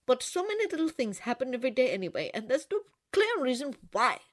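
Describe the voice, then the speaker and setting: A woman voicing an awkward, well-meaning middle-aged man in a storybook reading, in a hesitant, gentle manner. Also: high-pitched, big pitch swings, fast talker, voice pushed up. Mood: thoughtful.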